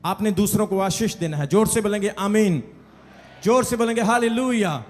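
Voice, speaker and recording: A man's voice: high voice, moderate pace. A man with a Punjabi accent preaching an emphatic, repetitive call-and-response sermon to a congregation. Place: large church hall, preaching from pulpit. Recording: echoey hall with long reverb.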